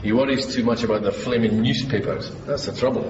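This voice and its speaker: An actor playing a smug, scornful government minister in a radio drama, grumbling and self-satisfied. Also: male voice, medium pitch, steady tone, fast talker, close to an everyday voice. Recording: echoey hall, dull, noisy.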